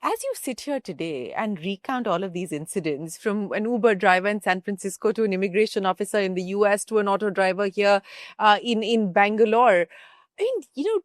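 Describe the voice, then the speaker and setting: A woman's voice: medium pitch, no echo, studio quality. A woman with an Indian accent asking probing questions as an interview host, with emphatic repetition.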